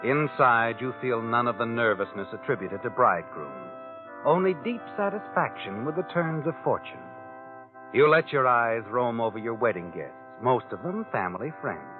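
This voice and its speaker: An actor plays a sardonic male storyteller from a 1940s radio crime show. His pitch swings widely as he needles the listener in second person. The sound is thin and old, with music behind him. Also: big pitch swings, moderate pace, lightly acted. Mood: sardonic, taunting. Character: sardonic all-knowing male narrator of crime tales. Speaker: narrator. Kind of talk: 1940s radio drama narration. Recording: tinny vintage radio recording with music behind.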